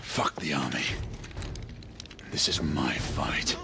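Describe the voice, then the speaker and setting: A man's voice: very deep voice, slow, gravelly, music in the background, full character voice. A man playing a defiant, grieving knight who leads in battle, intense and formal, in a period video game. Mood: bitter resolve. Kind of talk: dramatic period game acting.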